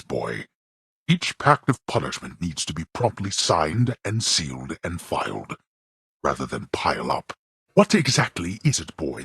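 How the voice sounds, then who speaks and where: An actor voicing a stern, scornful god of the underworld in a video game, with a haughty, formal manner of speech. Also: male voice, deep voice, husky, no room sound, studio quality, exaggerated voice. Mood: stern, commanding. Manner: haughty, formal archaic diction, disdainful. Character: stern, scornful, imperious male god ruling the underworld, an overbearing father. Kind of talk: scripted video game character lines.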